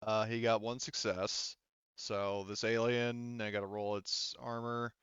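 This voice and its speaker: A man's voice: on the low side, level tone, unhurried, lo-fi sound, noisy background. A man acting as game master, casually narrating the action and rules of a tabletop roleplaying game.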